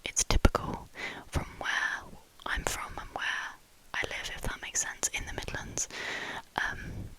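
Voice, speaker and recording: A woman in her twenties with a southern English accent, softly whispering trigger words and chatting as an ASMR creator. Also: measured pace, rough recording. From southern England. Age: 20s. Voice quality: soft whisper throughout.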